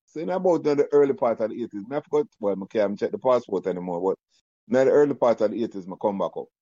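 Jamaican man, 50s, warm low voice, halting patois storytelling, slightly dull recording. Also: animated, dry recording. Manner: patois-laced, halting, many restarts and fillers. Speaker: guest recalling childhood. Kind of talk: casual reminiscing chat.

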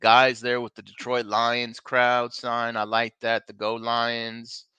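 A man's voice: mid-range voice, monotone, slow, bright, forceful tone, clear, resonant tone, dry recording. A man co-hosting a casual live wrestling podcast from a bar, upbeat and plugging the show between topics. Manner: upbeat, plugging social media, teasing. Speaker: podcast co-host.

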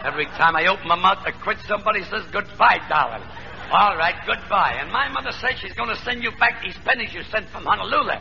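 High, creaky naive youngster. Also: male voice, lively intonation, quick pace. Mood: naive, puzzled. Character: naive, silly young man ruled by his mother. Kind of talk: old-time radio comedy acting. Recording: noisy, muffled vintage radio recording.

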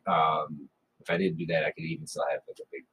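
A man's voice: rumbling low, fairly even intonation, fast talker, fairly bright tone, a little hiss or hum, poor audio. A man in his thirties co-hosting a casual podcast, talking in a rambling way with many restarts and self-corrections. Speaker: podcast co-host. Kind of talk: casual chat.

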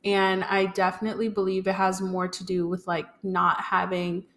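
A woman's voice: mid-range voice, monotone, normal speed, fairly bright tone. A young woman, speaking casually and tentatively as a podcast guest, thinking as she goes. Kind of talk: casual personal conversation.

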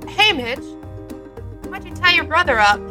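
A woman's voice: very high-pitched, big pitch swings, normal speed, bright, forceful tone, heavily acted. A woman playing an ailing, fond but scolding mother of two boys in a fan audio drama. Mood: exasperated. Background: music.

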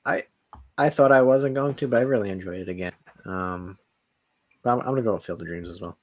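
A man's voice: lowish voice, fairly quick, muffled recording. A man co-hosting a podcast, chatting and telling anecdotes in a loose, informal style peppered with "like". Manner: informal, loose storytelling with many "like"s. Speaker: podcast co-host. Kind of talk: casual chat, anecdotes.

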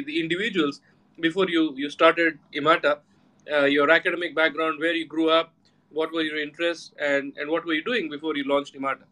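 Bright-voiced man hosting, stumbling over repeats. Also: a little high, poor audio. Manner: repeats words and restarts phrases often. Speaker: podcast host. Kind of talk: hosting a live audio podcast chat.

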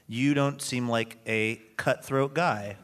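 A man's voice: mid-range voice, takes it slowly, fairly bright tone, smooth. A man hosting a radio show, interviewing conversationally on a live stage. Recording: live theater with audience behind. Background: audience.

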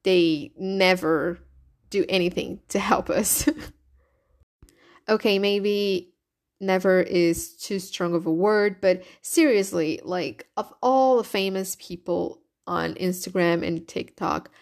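A young woman from Brazil with a Brazilian Portuguese accent, her first language being Portuguese, hosting a solo podcast in an animated, chatty way with rhetorical questions. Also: on the low side, slow. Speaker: podcast host.

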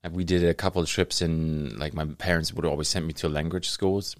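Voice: very deep voice, fairly even intonation, fairly quick, dry recording, studio quality. A podcast host with a German accent, a native German speaker, chatting casually, laughing often and searching for English words. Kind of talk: casual conversational interview.

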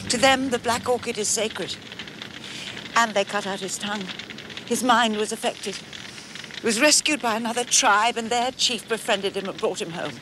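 An actress as a guilt-ridden noblewoman, high voice. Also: normal speed, close to an everyday voice. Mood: grave. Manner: grave, confessional. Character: guilt-ridden aristocratic lady guarding a family secret. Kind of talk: 1980s television drama. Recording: noisy clip from a television soundtrack.

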